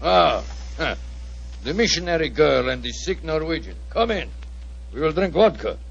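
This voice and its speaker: Casting: boastful Russian diplomat, Russian accent, gravelly, swooping delivery, old radio drama sound. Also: male voice, fairly high pitch, expressive, moderate pace, slight background noise. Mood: boisterous, gloating. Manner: theatrical, argumentative. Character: blustering, boastful Russian ambassador fond of vodka. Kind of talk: 1940s radio acting. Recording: dull 1940s radio recording.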